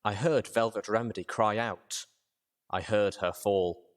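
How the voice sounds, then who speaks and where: A man with a low voice narrates fiction in the first person. He reads from a script as an audiobook narrator, with a dramatic storytelling delivery. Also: fairly even intonation, a little slow.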